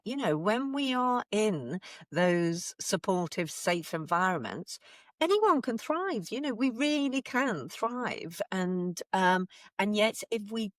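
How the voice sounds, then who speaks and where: Animated woman podcast host. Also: medium pitch, no room sound, studio quality. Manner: enthusiastic, emphatic agreement. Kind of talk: conversational interview.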